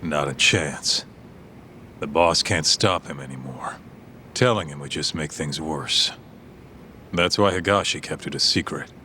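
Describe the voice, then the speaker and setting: Very deep, forceful streetwise tough guy. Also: measured pace, pauses often, slight background noise, heavily acted. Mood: grim. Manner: plain-spoken, colloquial. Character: streetwise, loyal tough guy, frank and somber. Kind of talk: dramatic game voice acting, storytelling.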